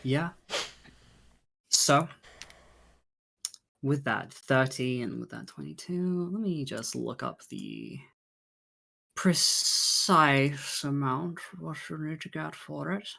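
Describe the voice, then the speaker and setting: A man's voice: fairly high pitch, very animated, takes it slowly, gravelly, long pauses, pushed and bright. A man acting as game master, moving between narrating a tabletop game and casual, informal chat. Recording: faint music behind the voice. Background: faint background music.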